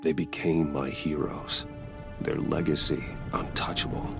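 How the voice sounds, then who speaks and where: A brooding vigilante hero in a video game, with an extremely low, gravel-edged voice. He speaks in a grave, measured way, grim and driven, over loud dramatic music. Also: little pitch movement, full character voice. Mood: grim, solemn. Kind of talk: dramatic scripted narration and dialogue.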